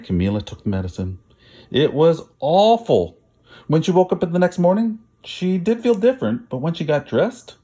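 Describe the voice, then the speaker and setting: A man's voice: a little high, mellow. A man narrating a children's story expressively, with dramatic, emphatic phrasing. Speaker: storybook reader.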